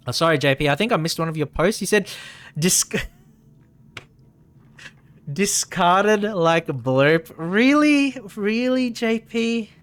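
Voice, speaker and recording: A man's voice: sits high, fairly bright tone. A man chatting casually through a live game stream, repeating phrases for emphasis, his voice a little tired and strained.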